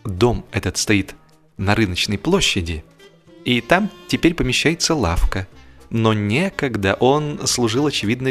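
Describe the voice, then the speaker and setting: A man narrating a humorous literary story expressively, in a theatrical, measured way with drawn-out emphasis. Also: mid-range voice, a bit bright. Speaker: audiobook narrator. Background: music.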